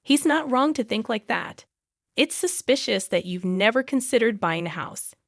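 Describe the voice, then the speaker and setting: A woman's voice: a little high, expressive, normal speed, vocal fry, dry recording, clean studio sound. A woman voicing a scornful, suspicious mother-in-law in a narrated family drama, accusing and condescending.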